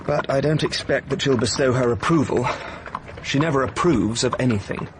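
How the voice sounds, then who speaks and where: A man's voice: medium pitch, moderate pace, noisy background, close to an everyday voice. A man playing a reserved English diplomat. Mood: polite. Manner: polite, hesitant, formal phrasing. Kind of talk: BBC radio drama acting.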